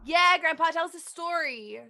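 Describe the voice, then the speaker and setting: A woman's voice: very high voice, expressive, moderate pace, sharp tone, exaggerated voice. A woman playing a pert, whiny, bossy little granddaughter in a comic play.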